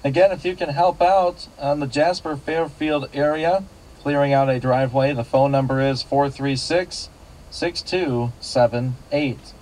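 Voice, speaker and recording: A man's voice: a little high, fairly even intonation, a little slow, darkish tone, rough recording. A man hosting a local radio classifieds call-in show, politely repeating details back. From United States.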